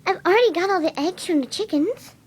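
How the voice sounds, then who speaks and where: A woman voicing a timid, kind-hearted cartoon pegasus pony who speaks in a soft, gentle, coaxing way. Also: very high voice, lively intonation, brisk. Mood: tender, cheering.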